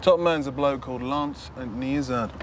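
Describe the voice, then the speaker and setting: A man's voice: medium pitch, a little fast, natural voice. Undercover police sergeant, male actor: mid-range, streetwise, blunt, sceptical, crime drama, noticeable background noise. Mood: blunt, wary. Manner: blunt, slangy, challenging. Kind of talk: naturalistic TV crime drama acting.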